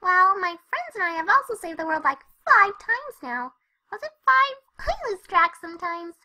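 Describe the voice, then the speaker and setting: A woman's voice: very high-pitched, very animated, normal speed, bright, forceful tone, full character voice. A woman voicing a hyper, cheerful young female cartoon pony who narrates her story in a chatty, scatterbrained way. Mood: breezy, bubbly. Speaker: first-person narrator of a fan fiction reading. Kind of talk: animated character narration.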